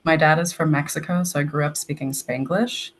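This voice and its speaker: A woman's voice: sits low, fairly even intonation, a little fast, slight background noise. Chatty young woman from Texas. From Texas, United States. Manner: chatty, uses "like" often, storytelling. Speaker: guest, English language assistant. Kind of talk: casual interview.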